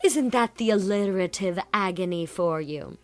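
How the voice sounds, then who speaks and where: A woman's voice: medium pitch, expressive, normal speed, faint music behind, close to an everyday voice. Woman acting theatrical, scatterbrained college girl. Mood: mock-suffering. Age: young adult. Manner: theatrical, exasperated, rambling. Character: dramatic, scatterbrained, chatty young college woman. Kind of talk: dramatized audiobook acting.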